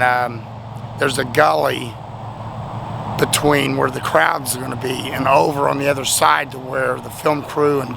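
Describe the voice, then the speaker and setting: A man's voice: mid-range voice, gravelly. A man, his voice nearly gone from talking all weekend, chatting in a rambling, self-deprecating way at a roadside rest stop. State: voice nearly lost, hoarse. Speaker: vlogger. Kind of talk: casual thank-you vlog. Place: outdoors at a highway rest stop, traffic nearby. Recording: outdoor traffic noise.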